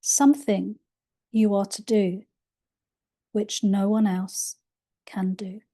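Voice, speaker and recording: A woman reading aloud from her own spiritual book in a soft, unhurried way, her voice a little croaky. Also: mid-range voice, little pitch movement. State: slightly croaky voice. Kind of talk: slow gentle book reading.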